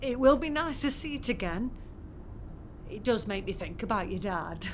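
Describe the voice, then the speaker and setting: A woman's voice: mid-range voice, lively intonation, moderate pace, slight background noise, close to an everyday voice. An actress playing a wistful, nostalgic elderly widow and mother in a naturalistic audio drama.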